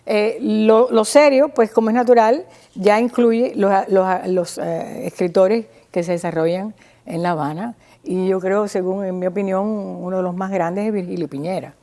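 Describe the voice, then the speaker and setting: Woman, Cuban accent, medium pitch. Informal lecturer who feels her way through phrases and checks in with the listener. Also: fairly even intonation, mellow. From small town in Cuba. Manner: didactic, halting, checks with listener using tag questions. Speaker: guest speaker on Cuban theatre history. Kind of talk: informal explanatory talk.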